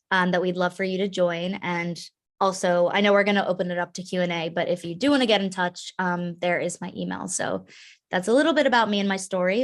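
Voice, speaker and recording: Young adult woman, slightly low voice, earnest conversational webinar speaker. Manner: earnest, conversational, frequent fillers. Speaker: carrier advocate, organization founder. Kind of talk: webinar talk.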